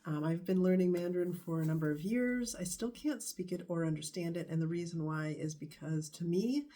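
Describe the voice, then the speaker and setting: A stuffy-nosed talks to the camera in a loose, meandering vlog, stopping often to find the next thought. The voice sits in a mellow, darker register, muffled by a head cold. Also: medium pitch. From United States. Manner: rambling, hesitant, many pauses. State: stuffy nose from a bad cold. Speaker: vlogger. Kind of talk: casual talking-to-camera vlog.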